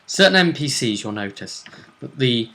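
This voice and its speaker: A man with a distinctly bright voice at a middle pitch hosts a tabletop role-play show. He talks casually and explains things in a loose, thinking-aloud way, often restarting a thought to put it another way. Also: big pitch swings, moderate pace. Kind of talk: casual discussion of game match-ups.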